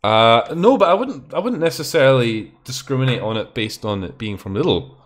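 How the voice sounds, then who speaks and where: A man's voice: mid-range voice, lively intonation, moderate pace. A young Scottish man hosting a live stream, chatting casually and rambling, with plenty of swearing and false starts. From Scotland. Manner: rambling, swearing, often restarts and exclaims. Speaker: stream host.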